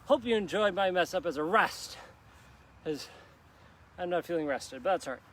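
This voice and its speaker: A man's voice: high voice, expressive, moderate pace, fairly bright tone. A man leading a live workout in a park, coaching upbeat and encouraging while doing the moves himself and joking about his own slip-ups. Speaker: fitness instructor. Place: outdoor park.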